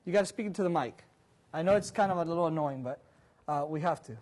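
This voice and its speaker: A man in his forties giving an animated career seminar in a large conference room, moving about and quizzing the audience, picked up by a distant camera. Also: on the high side, fast talker. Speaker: seminar speaker. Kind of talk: interactive career seminar talk. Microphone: far camera, room mic.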